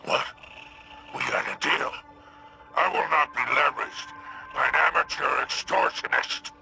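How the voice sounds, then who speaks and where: An actor voicing a surly, greedy male alien crime boss with a gravelly growl in a video game. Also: fairly high pitch, measured pace, bright, forceful tone, a little hiss or hum, heavily acted. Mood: indignant. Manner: grudging, bargaining menace.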